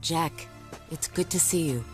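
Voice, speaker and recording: A woman's voice: low-pitched, a little slow. A determined female fighter in a video game. She sounds earnest and serious, with music behind her. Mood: urgent. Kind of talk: video game dramatic acting.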